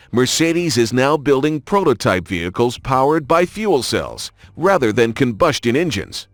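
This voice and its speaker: A man reading scripted documentary-style narration in a polished, measured announcer delivery. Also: medium pitch, no echo. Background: background music.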